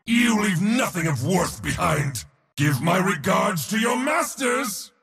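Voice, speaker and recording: A haughty god of war for a video game, his voice very rough and gravelly, ringing with long reverb. He is pompous and mocking, swinging wildly between huge battle bellows and low sneers. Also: sing-song, slow pace, a bit bright, soft background music, full character voice. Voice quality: raspy, gravelly, powerful. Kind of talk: video game hero voice lines.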